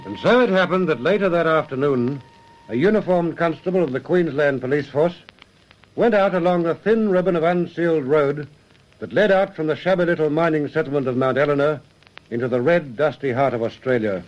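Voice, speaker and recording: A man's voice: on the high side, fairly quick, muffled recording, close to an everyday voice. A man narrating a detective radio serial in a formal, descriptive storytelling manner. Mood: grave, scene-setting. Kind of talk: scripted radio drama narration.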